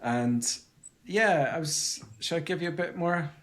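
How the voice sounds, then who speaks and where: A man's voice: a little high, unhurried, fairly bright tone. A man with a Scottish accent, a psychic medium guest speaking earnestly and reflectively on a video call. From Scotland. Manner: earnest, reflective, searching for words. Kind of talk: conversational interview over video call.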